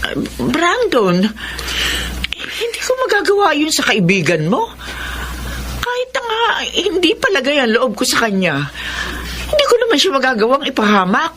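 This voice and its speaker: An older female caretaker in a radio drama, kindly but timid. Her high voice moves up and down expressively, meek and eager to please. There is faint noise in the recording. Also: wildly swooping pitch, normal speed, pauses often, a bit bright, full character voice. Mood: earnest, defensive.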